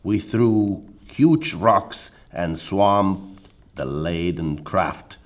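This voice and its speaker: Man playing a low, slow Spanish soldier. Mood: grim triumph. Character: loyal, battle-hardened Spanish soldier under siege. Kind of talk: audio play, declaimed dialogue.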